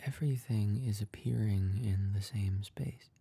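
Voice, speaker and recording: Man, guided meditation, breathy husky voice, slow pace, calm and gentle, dry close sound. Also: lowish voice, no echo. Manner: calm, gentle, unhurried with long pauses.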